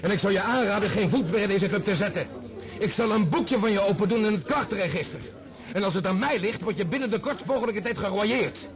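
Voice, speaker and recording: An actor playing an angry, indignant son in a Dutch radio play, accusing and threatening. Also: male voice, high voice, brisk, natural voice. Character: angry, indignant son defending his dead father.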